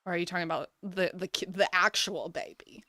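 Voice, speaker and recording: A woman's voice: fairly low pitch, brisk, bright, forceful tone, no echo, clean studio sound. A woman doing casual live gaming commentary, chatting and thinking aloud as she plays. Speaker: game streamer.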